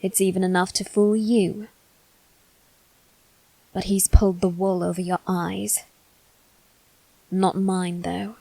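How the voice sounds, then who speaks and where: A woman's voice: mid-range voice, flat delivery, slow, vocal fry, long pauses, mellow, dry recording, low-quality recording. A woman voicing a haughty, bitter young noble boy in an ASMR roleplay, speaking formally and with cold condescension. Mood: disdainful. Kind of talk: ASMR character roleplay, scripted monologue.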